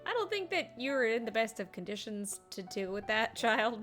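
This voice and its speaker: A woman game streamer reacting live and playfully, with theatrical, drawn-out words and a giggly manner. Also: a little high, big pitch swings, fairly bright tone, soft background music.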